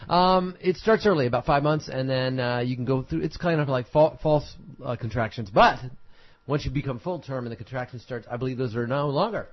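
A man's voice: medium pitch, a little fast, no room sound, dull audio. A man from Texas co-hosting a radio show, cracking puns and jokes. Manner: wisecracking, punny. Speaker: radio co-host. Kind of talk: joking radio banter.

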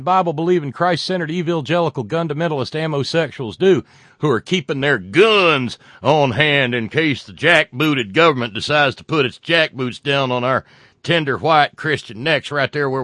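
A man hosting a show, reading viewer comments and delivering sarcastic political commentary that swings from loud rants to muttered asides, with frequent fillers and profanity. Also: a little high, no echo, clean studio sound. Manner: mocking, builds into loud rants then drops to muttering; profane, many fillers and restarts.

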